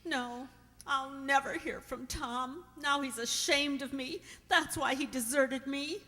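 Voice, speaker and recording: Forlorn young bride played by a woman, radio drama. High, plaintive, resigned, recorded in a reverberant hall. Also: slow, bright, forceful tone, full character voice. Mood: despondent. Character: Mary, forlorn young working-class abandoned bride.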